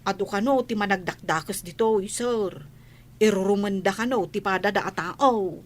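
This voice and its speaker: A woman playing a respectful, excitable household maid in a radio drama, with an Ilocano accent. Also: mid-range voice, moderate pace, slight background noise, lightly acted. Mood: anxious, deferential.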